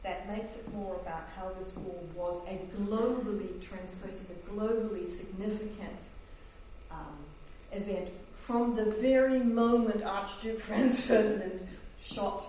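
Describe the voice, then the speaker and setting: A woman's voice: medium pitch, a little slow, warm tone. A woman historian, Dutch-born and raised in New Zealand, presenting her book in an academic talk, hesitant with many fillers and restarts. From Netherlands, raised in New Zealand. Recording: echoey hall, distant mic, faint hiss.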